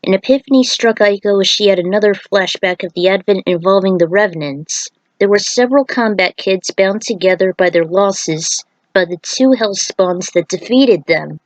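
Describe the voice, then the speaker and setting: A woman's voice: mid-range voice, normal speed, hardly any pauses, dry recording, low-quality recording. A woman reading a fan-fiction story aloud as an amateur narrator, occasionally stumbling and repeating words.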